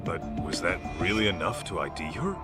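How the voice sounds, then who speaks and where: A man's voice: low voice, expressive, a little fast, loud music behind the voice. A man playing a courteous, probing middle-aged private investigator in an English-dubbed video game drama. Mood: serious, probing. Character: gruff but courteous male private investigator.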